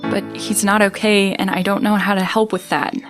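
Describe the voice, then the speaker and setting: A woman's voice: mid-range voice, level tone, moderate pace, lightly acted. A young woman acting as a worried, weary stranded student in a sci-fi audio drama, speaking in a hesitant, introspective monologue. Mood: worried, downcast. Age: young adult. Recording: prominent music behind the voice.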